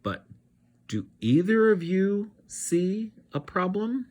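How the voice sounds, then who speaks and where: A reader as an exacting monster boss, raised pitch. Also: big pitch swings, slow, a little mellow. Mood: critical. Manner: schoolmasterly, emphatic. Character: Mister Z, exacting male monster boss and instructor.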